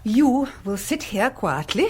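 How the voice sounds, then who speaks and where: A woman's voice: a little high, big pitch swings, takes it slowly, husky, exaggerated voice. A woman acting a bossy, vivacious middle-aged English society lady in a radio comedy, commanding, playful and theatrical. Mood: bossy, brilliant.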